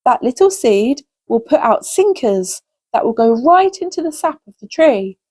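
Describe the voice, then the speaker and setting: A woman's voice: on the high side, sing-song, measured pace, a little mellow, husky, no echo, heavily acted. A woman voicing a big, helpful male thrush who explains things matter-of-factly in a children's storybook. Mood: pleased, instructive. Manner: matter-of-fact, self-assured.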